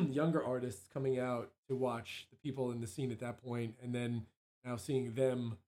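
A hoarse man, a musician guest, chatting casually on a local radio show with lots of ums and restarts. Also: medium pitch, moderate pace, warm tone. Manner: rambling, many ums and restarts.

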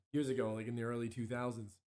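A hoarse man, a musician and songwriter, chatting casually as an interview guest in a rambling, self-interrupting way. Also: medium pitch, fairly even intonation, fairly quick, darkish tone. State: hoarse from allergies, clearing throat.

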